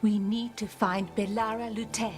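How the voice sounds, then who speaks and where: An actress playing a resolute elven scout in a fantasy game, with earnest, solemn delivery. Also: female voice, mid-range voice, level tone, unhurried, soft background music, close to an everyday voice. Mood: solemn, urgent. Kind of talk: dramatic game dialogue.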